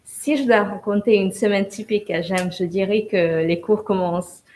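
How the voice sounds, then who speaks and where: A young woman, a first-year business student, calmly describing her school week and plans in an interview. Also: medium pitch, normal speed, slight background noise. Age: young adult. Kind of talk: live interview answers.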